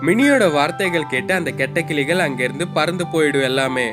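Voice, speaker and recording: A man's voice: on the high side, lively intonation, a little fast. A man narrating a scripted moral story for children. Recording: loud guitar music behind.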